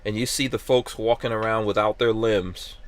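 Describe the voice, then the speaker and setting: A man's voice: fairly low pitch, steady tone, normal speed. Emphatic man hosting a podcast. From Guyana. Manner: emphatic, rhetorical questions. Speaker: podcast host. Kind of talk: casual opinion talk.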